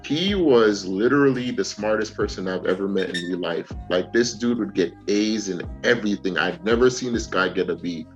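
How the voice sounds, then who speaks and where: A man telling a story in relaxed podcast chat. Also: lowish voice, normal speed, few pauses, dull audio, soft background music. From Caribbean. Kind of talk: casual chat.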